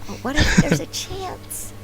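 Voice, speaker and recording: An actress playing an earnest, anxious young fishing association representative in a video game, with a formal, theatrical manner. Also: female voice, high voice, sing-song, unhurried, low-quality recording. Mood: worried musing. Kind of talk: English game dub acting. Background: game music.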